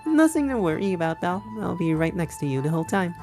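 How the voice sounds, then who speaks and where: Lively, teasing young love interest. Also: female voice, fairly low pitch, quick pace, soft background music. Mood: playful, teasing. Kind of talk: visual novel game acting.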